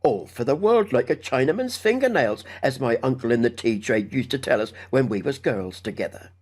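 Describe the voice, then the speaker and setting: A man's voice: mid-range voice, lively intonation, moderate pace, a little mellow, slightly rough. A man voicing a fussy working-class housekeeper woman with folksy, emphatic speech in a storybook reading. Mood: uneasy, confiding.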